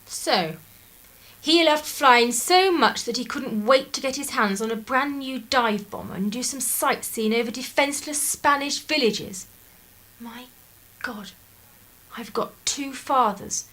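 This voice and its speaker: A woman's voice: high-pitched, unhurried, bright, forceful tone, full character voice, rough recording. An actress playing a headstrong young woman from a 1930s clergy family in a radio drama, intense and outspoken. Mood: indignant.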